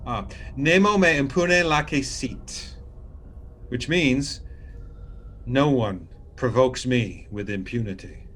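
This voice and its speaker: An actor playing a scheming, falsely solicitous avenger who narrates his deed in a formal, measured dramatic reading. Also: mid-range voice, fairly bright tone, slight background noise. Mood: feigned concern. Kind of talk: theatrical dramatic reading of gothic fiction.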